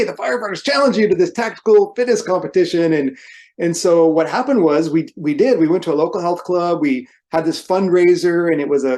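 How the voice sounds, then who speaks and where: Higher-voiced man explaining through anecdotes. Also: darkish tone. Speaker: podcast guest, fitness trainer. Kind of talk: casual podcast conversation.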